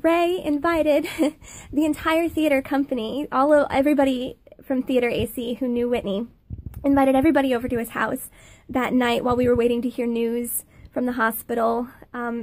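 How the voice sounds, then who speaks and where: A woman in her thirties with a high-pitched voice, recording a personal video message, earnest and halting with many pauses. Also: a little mellow, dry recording. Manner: earnest, halting, many fillers, laughs between phrases. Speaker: former student giving a thank-you testimonial.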